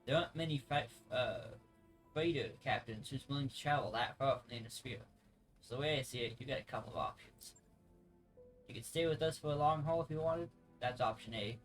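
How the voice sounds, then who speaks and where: A man's voice: mid-range voice, a little fast, close to an everyday voice. A man reading aloud the game dialogue of a friendly, plain-spoken mercenary officer, conversational and stumbling over some words. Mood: businesslike. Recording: music playing behind the voice.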